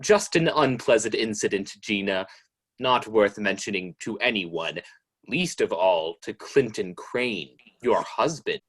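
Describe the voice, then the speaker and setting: A low-voiced narrator, acted by a man, tells an old-time radio mystery in the second person. He is knowing and ominous, drawing out each phrase very slowly and insinuating guilt as he needles the heroine. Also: expressive, a bit bright, slightly rough, heavily acted. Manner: deliberate, insinuating.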